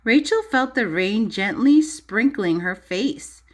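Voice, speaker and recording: A woman teacher reading a storybook aloud to young children in an expressive, child-directed way, pausing to explain and ask questions. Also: mid-range voice. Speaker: preschool or early primary teacher.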